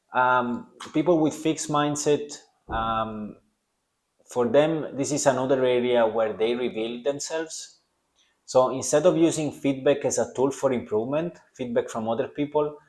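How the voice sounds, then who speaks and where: A man's voice: medium pitch, a little mellow, reverberant. A young man with a strong Italian accent, hosting a solo podcast in earnest, reflective talk aimed at the listener. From Italy. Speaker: podcast host.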